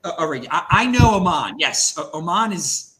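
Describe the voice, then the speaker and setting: A man hosting a casual panel show, excitable and stammering, calling his guest dude. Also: on the high side, normal speed, over a noisy crowd. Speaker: podcast host.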